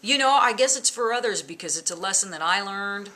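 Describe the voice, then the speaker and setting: A woman in her forties, a bass player and songwriter, chatting casually in an interview at home, lively with lots of fillers. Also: brisk. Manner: lively, chatty, laughs often, many fillers. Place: living room at home. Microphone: camera in front, close framing.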